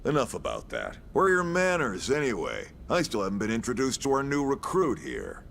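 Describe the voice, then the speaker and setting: An actor playing a gruff, brash middle-aged military commander in a video game, with an American accent, a gravelly voice and a blunt, folksy, salty way of talking. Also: male voice, mid-range voice, wildly swooping pitch, a little fast, fairly bright tone, a little hiss or hum, heavily acted. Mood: teasing, brash. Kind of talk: scripted video game cutscene acting.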